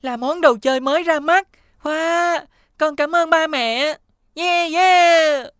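A woman voicing a playful, sincere young child in an animated series. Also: high-pitched, slow, dry recording, clean studio sound. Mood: excited. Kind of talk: Vietnamese animated series dubbing.

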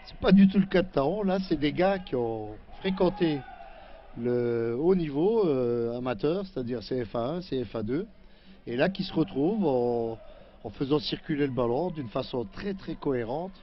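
Measured co-commentator, mid voice. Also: male voice, medium pitch, expressive, muffled recording, a little hiss or hum. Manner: measured, hesitant, many fillers. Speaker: co-commentator, former player. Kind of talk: football match analysis.

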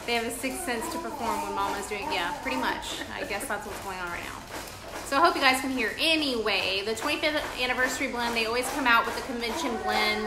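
A middle-aged woman on a living-room couch, chatting with enthusiasm in an informal review and steering the conversation. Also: on the high side. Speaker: co-host leading the review. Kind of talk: informal product review chat. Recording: echoey room with loud background noise.

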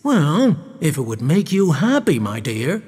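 A man voicing a calm, mild, reassuring baron husband in a storybook tale. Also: a little high, unhurried, husky, in a large hall, full character voice. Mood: mild, doubtful. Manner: placid, soothing. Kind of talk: storybook character voice.

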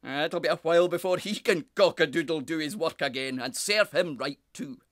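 A narrator voicing a big, friendly, blustery older-brother wind in a children's story, with a hearty, jovial, booming manner. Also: lively intonation, unhurried, pushed and bright, no echo, clean studio sound. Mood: gleeful.

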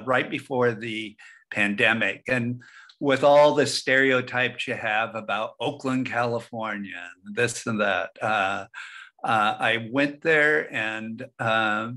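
An older man, a professor, facilitating a session on a video call in a home study, rambling and halting. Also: medium pitch, bright, forceful tone. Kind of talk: casual video call talk. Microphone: webcam on video call.

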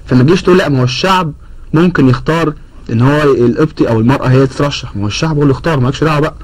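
Rapid-fire Egyptian man arguing a point. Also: fairly high pitch, low-quality recording. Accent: Egyptian Arabic. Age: 30s. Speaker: guest. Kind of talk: political talk show discussion. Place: TV studio, indoors. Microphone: lapel mic.

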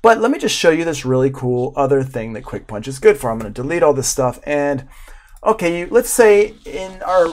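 A man in his forties talks like an instructor on a live online class. His delivery is informal and enthusiastic, in a voice a touch higher than most men's. He explains things casually as he demonstrates them. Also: sing-song, moderate pace. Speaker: audio production instructor. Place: small room at home, indoors.

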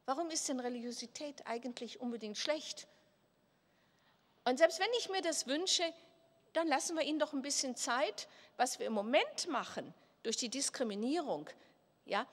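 Animated older woman lecturing in a hall. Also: sits high, moderate pace. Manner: animated, gesturing, questioning herself aloud. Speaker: professor, conference speaker. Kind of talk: conference talk at a lectern. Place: large hall at a political conference. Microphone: lectern microphone. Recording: reverberant hall.

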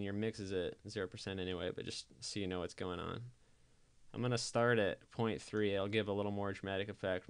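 A man giving a casual software tutorial voice-over, explaining things informally step by step. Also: lowish voice, vocal fry, smooth. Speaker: tutorial presenter.

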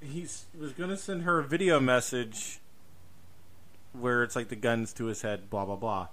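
A man's voice: mid-range voice, normal speed, crowd noise. A man hosting a casual podcast conversation, speaking emphatically and repeating himself to drive points home. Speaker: podcast host.

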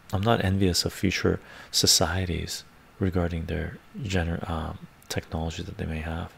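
A middle-aged man with a Canadian accent hosting a livestream, chatting casually in ASMR style in an unhurried, rambling way with frequent "right?" tags. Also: low voice, gravelly, a little hiss or hum. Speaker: livestream host. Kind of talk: casual ASMR chat, answering viewers. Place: small book-lined room at home.

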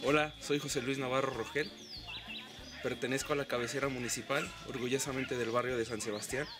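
A man's voice: mid-range voice, steady tone, fairly quick. A young man council candidate introducing himself proudly and firmly to camera outdoors. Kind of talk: scripted campaign statement. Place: outdoors among green plants. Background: campaign music.

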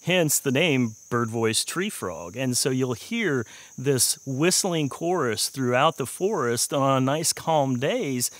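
A man in his forties explaining his work in a measured way as a wildlife researcher in a documentary interview, recorded outdoors. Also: mid-range voice, lively intonation. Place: outdoors in woodland.

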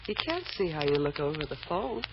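A woman's voice: low-pitched, sing-song, brisk. An actress playing a practical, wry and supportive woman friend with a matter-of-fact manner in an old radio drama. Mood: chatty, teasing. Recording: dull, noisy old radio recording.

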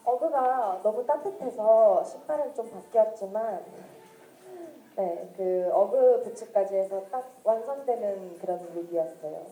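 A woman in her twenties chats with her fans in a casual, giggly way, swinging between stories and jokes. Her voice is dark and soft rather than bright. She speaks through a handheld mic, and the event hall gives it a roomy echo. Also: medium pitch, measured pace, faint music behind. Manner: chatty, giggly, storytelling. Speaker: singer talking to fans.

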